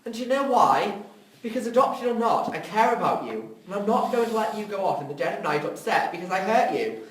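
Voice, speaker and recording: A man's voice: sits high, expressive, fairly quick, recorded from a distance. A man playing a caring, bookish older brother, pleading and reassuring, in a script reading. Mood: pleading, heated. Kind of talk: audio drama script reading. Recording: echoey room, off mic, faint noise.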